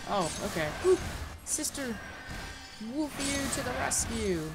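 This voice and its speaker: A woman's voice: high-pitched, big pitch swings, unhurried, over music. A woman giving casual let's-play commentary as a gaming host, rambling and easily sidetracked.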